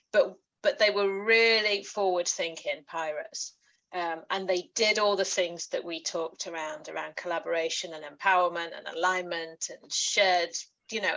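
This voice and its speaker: A woman's voice: on the low side, a bit bright, poor audio. A woman author as interview guest, chatty and enthusiastic, laughing often as she talks. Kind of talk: book club interview.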